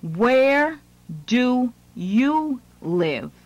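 Very slow, deliberate woman reading a lesson. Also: a little high, big pitch swings, no echo. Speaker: language lesson narrator.

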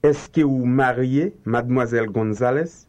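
A man reading slow, carefully enunciated translations in a language drill. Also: mid-range voice, a little mellow. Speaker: language teacher.

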